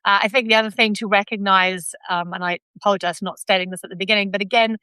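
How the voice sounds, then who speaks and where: A woman host with a New Zealand accent and a bright, smooth, crisp voice. She speaks in a thoughtful, hedging way, often trailing off mid-phrase, recorded close and dry. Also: medium pitch, fairly even intonation, fast talker, no echo, rough recording. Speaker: host of live video. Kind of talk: live online discussion.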